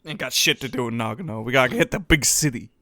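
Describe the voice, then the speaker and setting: A man's voice: mid-range voice, quick pace, sharp tone, dry recording, studio quality. A young adult man co-hosting a casual podcast, joking and laughing a lot, swearing freely. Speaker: podcast co-host.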